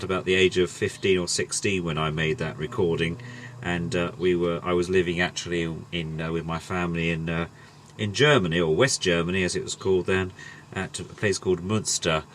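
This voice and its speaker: A man's voice: sits low, fairly bright tone, a little hiss or hum. A middle-aged man chatting casually through a hobby demonstration, rambling and hesitant as he goes. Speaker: host demonstrating a vintage tape recorder.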